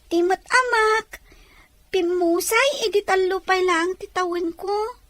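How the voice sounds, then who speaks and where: A woman's voice: extremely high pitch, steady tone, takes it slowly, a bit bright, no room sound, full character voice. A woman voicing a humble, pitiful orphaned young girl in an audio drama, meek and pleading. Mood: meek, grateful. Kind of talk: Ilocano audio story acting.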